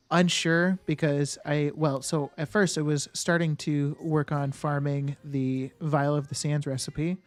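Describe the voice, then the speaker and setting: A man's voice: fairly high pitch, no echo. A man live-streaming a game, chatting casually with viewers. He thinks aloud and answers chat with lots of fillers and restarts.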